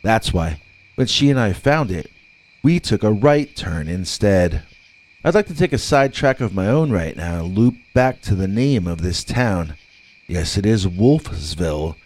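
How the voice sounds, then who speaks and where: A man reading scripted scary stories as a narrator, with dramatic, deliberate delivery and drawn-out words. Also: fairly low pitch, a little mellow, low-quality recording.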